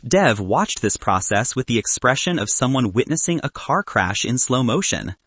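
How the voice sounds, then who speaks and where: Expressive male storyteller, husky, dry close sound. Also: medium pitch, normal speed, no echo. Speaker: narrator. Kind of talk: scripted audiobook narration.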